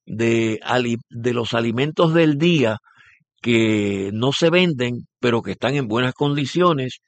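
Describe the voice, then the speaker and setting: A man's voice: mid-range voice, expressive, unhurried, no room sound. A man hosting a business radio show, interviewing a guest and often rephrasing his questions with fillers. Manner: repeats and rephrases, many fillers.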